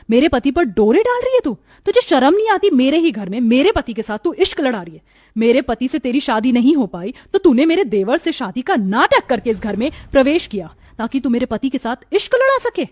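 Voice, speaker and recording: A woman's voice: on the high side, warm tone, no echo, studio quality. A woman voicing a jealous, suspicious young wife in a cartoon family melodrama, speaking in an emphatic, accusing way.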